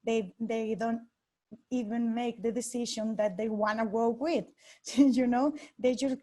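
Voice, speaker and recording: Mexican woman guest, earnest, fairly high. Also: steady tone, a little slow, mellow. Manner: earnest, searching for words in a second language. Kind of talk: interview answer.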